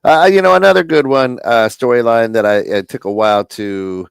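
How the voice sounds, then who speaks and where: A middle-aged man on a wrestling podcast, reminiscing in a halting way with many ums and uhs. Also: medium pitch, vocal fry, clean tone, dry recording. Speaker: podcast co-host. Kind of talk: casual wrestling chat.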